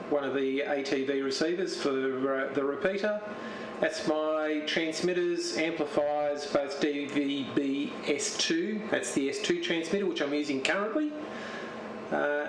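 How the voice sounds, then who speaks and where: An Australian man with a slightly high voice gives an informal, unscripted tour of his hobby setup. He speaks haltingly, in short descriptive pieces. The sound is roomy, with clear noise behind him. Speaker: amateur television operator. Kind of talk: informal show-and-tell narration. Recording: roomy, noisy radio-transmission audio.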